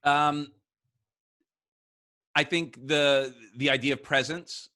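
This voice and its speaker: A man hosting an interview show, telling a story in a relaxed, thoughtful way. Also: fairly high pitch, slow pace. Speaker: show host.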